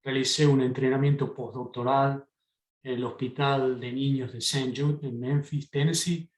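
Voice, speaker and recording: A man's voice: mid-range voice, fairly even intonation, normal speed. A middle-aged man with an Argentine accent introduces himself over a video call, polite and composed with light humor. His voice rings in an echoey room. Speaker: professor, panelist.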